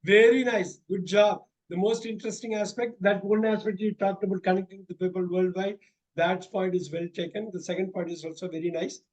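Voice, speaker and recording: A man's voice: sits high, steady tone, brisk, darkish tone, low-quality recording. A man with an Indian English accent tutoring students through a mock speaking exam, repeating his praise often.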